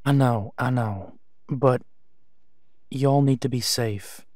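A man's voice: medium pitch, slow, a little mellow, dry recording, clean studio sound, lightly acted. A man playing a protective, caring werewolf pack leader in an audio drama, with a casual, reassuring manner. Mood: concerned, tender. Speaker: voice actor.

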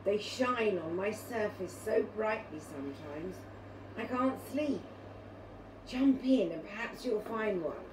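A woman's voice: mid-range voice, animated, slow. A woman voicing an obliging, sleepless talking pond in a children's storybook. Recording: roomy, distant mic, clearly noisy.

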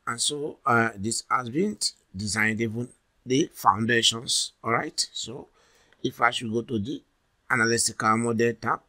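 A man from Nigeria presenting a software tutorial as a voice-over for a screen recording, talking deliberately and often saying "all right". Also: medium pitch.

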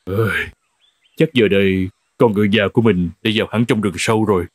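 An actor playing a farmer who owns a horse in an animated fairy tale, theatrical, exclamatory and prone to stammering. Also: male voice, fairly low pitch, brisk, dry recording, studio quality, close to an everyday voice. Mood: musing, regretful. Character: old-fashioned male farmer, horse's master, astonished then remorseful.

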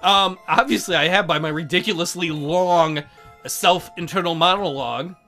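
A man's voice: high voice, moderate pace, bright, forceful tone, faint music behind. A man hosting a casual let's play, rambling and joking in a crude, sarcastic way. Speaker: gaming video host.

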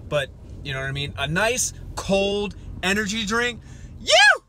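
Young dude rambling jokes at his camera while driving, kinda high voice, road noise all over. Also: huge pitch swings, a little slow. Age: young adult. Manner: joking, rambling, goofy outbursts. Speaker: fishing vlogger. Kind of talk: casual vlog talk to camera. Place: inside a pickup truck while driving. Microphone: phone or action camera at arm's length. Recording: road noise from a moving car.

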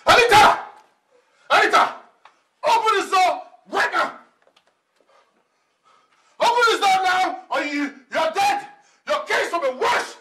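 A man's voice: very high voice, slow, pauses often, pushed and bright, echoey room, recorded from a distance, a little hiss or hum, heavily acted. A man with a Nigerian accent playing a drunk, jealous, domineering husband who makes threatening demands in a melodramatic film. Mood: enraged, threatening. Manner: demanding, threatening, repeats commands.

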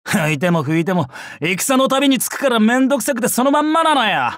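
An actor playing a brash, bloodthirsty young male samurai in a mobile game, with rough, swaggering speech. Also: very high-pitched, fairly bright tone, no room sound, studio quality, heavily acted. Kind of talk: Japanese mobile game character voice lines.

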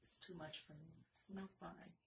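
A middle-aged woman doing a casual, flirty ASMR roleplay as a card dealer, chatting in an unhurried, conversational way close to the microphone. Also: lowish voice, steady tone, creaky, very noisy. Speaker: ASMR performer playing a blackjack dealer.

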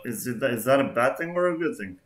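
A man's voice: mid-range voice, brisk, roomy sound. A young man from Kosovo, a native Albanian speaker with an Albanian accent, chatting playfully with strangers over a headset at home. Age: young adult. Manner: playful, animated, swears when flustered. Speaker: YouTuber. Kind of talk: casual video chat. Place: small room at home.

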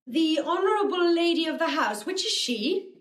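A woman's voice: very high-pitched, expressive, moderate pace, fairly bright tone. An actress playing a saucy, eloquent young woman disguised as a male messenger, in a declamatory, courtly classical Shakespearean style. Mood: courtly, flustered. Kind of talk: classical stage acting of Shakespeare.

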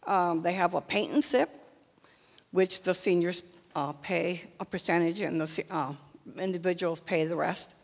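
A woman's voice: fairly low pitch, moderate pace, vocal fry. An older woman speaking off the cuff at a town meeting, hesitant, with many fillers, into a handheld mic. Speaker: senior group representative. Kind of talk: unscripted remarks at a public meeting. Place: large meeting hall. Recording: long hall reverb.

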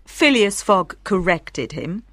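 A woman reading scripted audiobook narration, telling a story with clear articulation for language learners. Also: mid-range voice, expressive, takes it slowly, a bit bright, no room sound.

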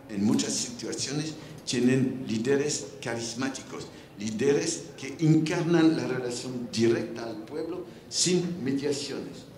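A man's voice: mid-range voice, darkish tone. An older man with a French accent, speaking on a round-table panel on a large indoor stage, searching for words with restarts, into a handheld mic. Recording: roomy hall with faint noise.

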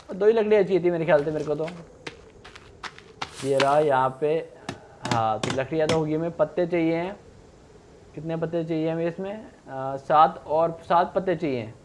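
Young male gamer, slightly high voice, casual thinking-aloud commentary, faint crackling noise behind. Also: moderate pace, long pauses. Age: young adult. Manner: thinking aloud, repeats himself. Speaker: gaming streamer. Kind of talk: casual let's play commentary.

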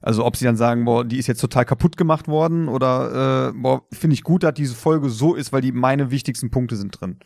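A man's voice: mid-range voice, fairly quick, creaky, few pauses, a little mellow, no room sound. Casual male podcast co-host, close dry sound.

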